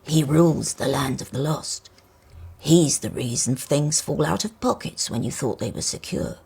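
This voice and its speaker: A woman voicing an old rusty male toy car in a storybook, grave and wise, croaky, explaining things slowly and ominously. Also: sits low, warm tone, pitched below the performer's own voice, full character voice.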